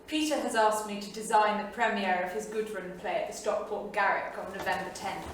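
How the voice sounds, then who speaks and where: A woman's voice: medium pitch, brisk, close to an everyday voice. Woman acting a thoughtful young 1930s wife, very echoey. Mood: absorbed. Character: thoughtful, observant young artist wife of a don. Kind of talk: staged reading of journal entries, theatrical. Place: small studio theatre, live staged reading. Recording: very echoey theatre, distant mic, faint noise.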